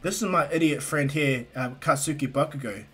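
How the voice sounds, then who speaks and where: A man's voice: fairly high pitch, normal speed, fairly bright tone, breathy edge. A man voicing a timid, polite, cheerful teenage hero boy, friendly and apologetic, in a told fan story. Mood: friendly, flustered.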